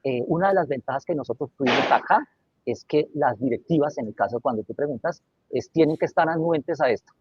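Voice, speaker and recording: A man's voice: medium pitch, expressive, fairly quick, darkish tone, breathy edge, no room sound. A man answering as a webinar panelist, earnest and reflective, checking in with his listeners as he talks. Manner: earnest, reflective, tags lines with "¿sí?". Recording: dry, dull lo-fi mic.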